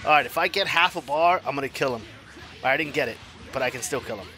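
A man in his forties commenting on his own online fighting game matches, swinging from low mutters to loud yells, laughing and cursing at himself and his opponent. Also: fast, over music. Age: 40s. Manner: profane, self-mocking trash talk. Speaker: gaming YouTuber. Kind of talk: live gameplay commentary.

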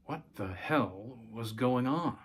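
A man's voice: medium pitch, expressive, slow pace, slightly rough. A man in his forties reading a short story aloud as scripted narration. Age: 40s. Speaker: story reader, host. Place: small room at home.

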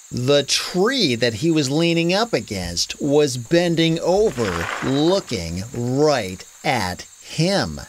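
A woman narrating a children's story in an expressive, conversational, casual way. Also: extremely low pitch, a little hiss or hum.

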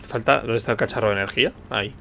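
Male streamer, bright voice, informal gameplay musing over faint game noise. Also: lowish voice, rapid-fire, vocal fry. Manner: muses aloud, informal. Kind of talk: casual gameplay commentary. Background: video game sound.

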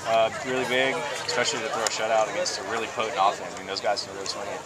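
A young man, a college baseball pitcher, answering postgame questions outdoors at night in an easygoing way, chuckling now and then. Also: flat delivery, rapid-fire. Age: young adult. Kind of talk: postgame interview. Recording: outdoor crowd noise behind.

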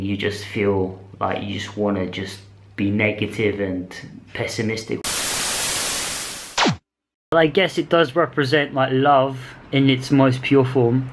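A man's voice: on the low side, steady tone, a little slow, background noise. Young British man in an echoey room. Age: 20s. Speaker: vlogger. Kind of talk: casual rambling vlog to camera. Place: small indoor room with bare walls. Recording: echoey, roomy sound.

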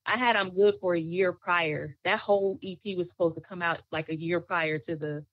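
A woman guest singer, chatting in an interview in a relaxed, storytelling way, laughing often. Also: lowish voice, moderate pace, no echo, phone-quality sound. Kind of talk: casual interview chat.

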